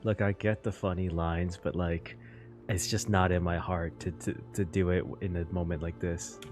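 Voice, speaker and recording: A man giving casual, spontaneous reaction commentary as he plays a story-driven game, in an expressive way. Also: sits low, moderate pace. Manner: expressive, exclamatory. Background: game music.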